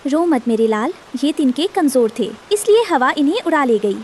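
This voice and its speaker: A woman's voice: sits high, sing-song, normal speed, a little mellow, slight background noise. A woman voicing a protective, determined mother bird in a children's cartoon, reassuring and moralizing. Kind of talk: animated cartoon story dialogue.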